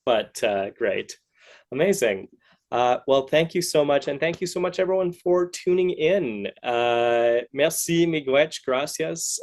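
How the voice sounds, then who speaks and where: A man's voice: fairly high pitch, darkish tone. A man hosting a casual interview, talking in a hesitant, rambling and encouraging way. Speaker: podcast host interviewer.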